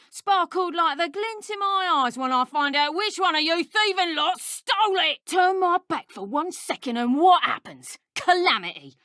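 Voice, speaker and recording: A woman playing a brash, boastful dark wizard poacher in a video game, with a working-class English accent and a cheeky, colloquial way of talking. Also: sits high, no room sound, clean studio sound. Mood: aggrieved, threatening. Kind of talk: scripted video game enemy voice lines.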